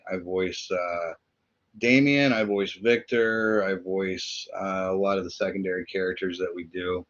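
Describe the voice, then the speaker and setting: A man, a voice actor and co-creator, talking casually and haltingly on a video call. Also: low-pitched, fairly even intonation, a bit bright. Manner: halting, filler-heavy. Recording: dull video-call audio.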